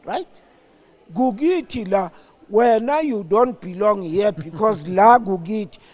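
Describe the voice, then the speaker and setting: Slow, high-voiced older South African man. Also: darkish tone. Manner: deliberate, halting, emphatic. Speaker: interview guest. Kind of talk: reflective political discussion.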